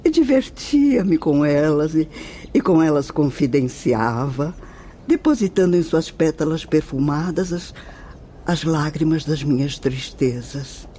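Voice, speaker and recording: A gentle, devout spirit nun with a Brazilian accent and a soft mellow tone. She speaks slowly and maternally, with lilting, expressive pitch, in a radio soap style. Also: slight background noise. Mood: nostalgic, tender. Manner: slow, gentle, maternal, deliberate. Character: kindly, serene spirit nun of indefinable age, gently devout. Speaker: actor in a radio drama.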